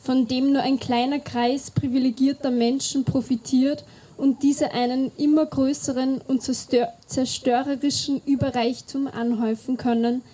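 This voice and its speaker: A woman's voice: sits high, flat delivery, normal speed, mellow, breathy edge. A woman reading a formal declaration aloud, earnestly.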